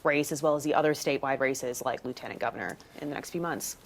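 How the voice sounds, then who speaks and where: A female political reporter with a low voice. She talks fast and sounds confident in her predictions. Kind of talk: panel discussion.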